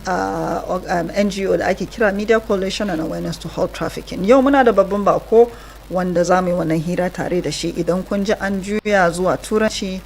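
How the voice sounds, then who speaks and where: A woman's voice: lowish voice, fast talker, gravelly, a little mellow, a little hiss or hum. Nigerian woman hosting a radio interview. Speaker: radio host.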